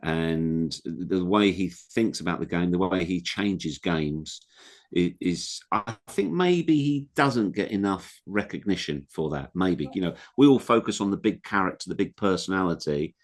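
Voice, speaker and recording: Guest pundit: a man, very low voice, earnest, reflective, emphatic. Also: expressive, a little slow. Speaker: guest football broadcaster. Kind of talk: casual interview chat.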